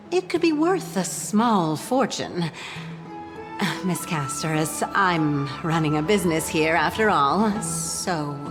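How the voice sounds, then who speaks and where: Female game merchant, chatty, shrewd, obliging, lowish pitch, unhurried delivery, clear music behind. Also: exaggerated voice. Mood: hesitant, bargaining. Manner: hesitant, sighing, conversational. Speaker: market stall merchant. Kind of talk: video game voice acting. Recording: long reverb, music behind.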